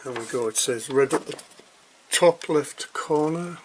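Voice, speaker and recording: A man talks through an unboxing in a casual, unhurried way. He speaks slowly and hesitantly, breaking his thoughts into short pieces. Clear background noise and clicks sit behind his voice in a homemade recording. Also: fairly high pitch, rough recording. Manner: hesitant, piecemeal delivery with long pauses. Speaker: hobbyist product reviewer.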